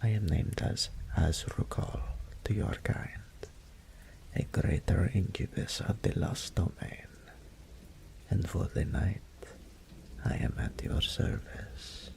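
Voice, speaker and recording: A male voice actor playing a seductive, smug male greater incubus in an audio roleplay drama, with breathy whispers and a slow, purring, condescending manner. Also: low-pitched, a little mellow, exaggerated voice, poor audio. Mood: seductive, teasing.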